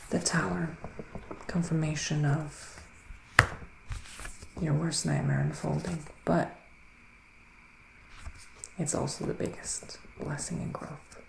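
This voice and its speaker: Psychic male witch, played by a man for ASMR roleplay: soft, breathy, unhurried and musing, gravelly fry, gentle but gloomy, faint noise behind. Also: fairly high pitch, flat delivery, heavily acted. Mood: ominous, reassuring. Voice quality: soft, breathy, often slipping into whispers. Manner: hushed, unhurried, musing, with dramatic pauses. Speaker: ASMR performer, tarot reader. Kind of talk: ASMR roleplay tarot reading. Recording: roomy sound with faint background noise.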